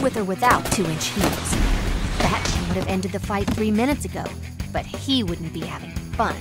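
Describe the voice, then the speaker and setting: A young woman playing a wry, determined aspiring crime fighter in an animated comic. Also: mid-range voice, lightly acted. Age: young adult. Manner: wry, self-assured. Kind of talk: animated motion comic narration and dialogue.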